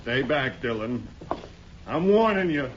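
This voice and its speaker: An actor playing a defiant, blustering American outlaw in a 1950s radio western. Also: male voice, medium pitch, sing-song, unhurried, noisy background. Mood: defiant, nervous. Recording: muffled 1950s radio recording.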